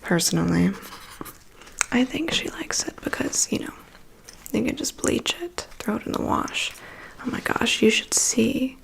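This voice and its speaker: A woman playing a chatty, weary vampire's assistant in an ASMR roleplay, in a soft breathy whisper with a confiding manner. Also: on the high side, big pitch swings, heavily acted. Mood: gossipy, wry. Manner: confiding, gossipy, unhurried. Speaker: ASMR performer. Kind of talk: ASMR personal attention roleplay.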